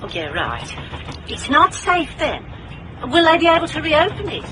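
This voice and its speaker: An actress with a British accent playing a brisk, bossy, wry private investigator in a radio drama. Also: female voice, sits high, huge pitch swings, background noise. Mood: probing. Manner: brisk, commanding, teasing. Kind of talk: radio drama acting with noir monologue.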